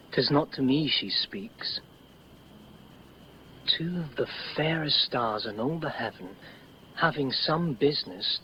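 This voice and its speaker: An actor playing an ardent, dreamy young nobleman in love, with measured, breathy poetic delivery in 1950s radio style. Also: medium pitch. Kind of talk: 1950s radio Shakespeare acting. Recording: dull old radio recording, faint noise.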